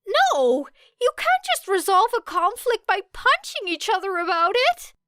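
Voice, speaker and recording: An earnest, flustered teenage boy played by a woman in an audiobook. The voice is very high and bright, swinging animatedly in pitch, with an anxious, pleading edge. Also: big pitch swings, measured pace, no echo, clean studio sound, heavily acted. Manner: stammering, indignant, pleading. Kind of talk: audiobook character voice.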